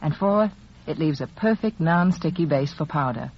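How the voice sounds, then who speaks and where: A woman's voice: low-pitched, animated, normal speed. A woman with an American accent reading a scripted 1940s radio beauty advertisement as an expert. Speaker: beauty authority giving sponsor message. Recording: muffled, faintly noisy 1940s radio recording.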